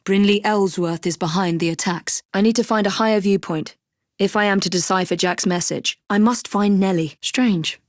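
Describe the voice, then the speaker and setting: A woman acting as a young Victorian assassin in a video game, with an English accent and crisp, formal, composed diction. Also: mid-range voice, steady tone, moderate pace, dry recording, studio quality, lightly acted. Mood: composed, determined. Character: young female Victorian assassin, brisk, poised and determined. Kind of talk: scripted game character dialogue.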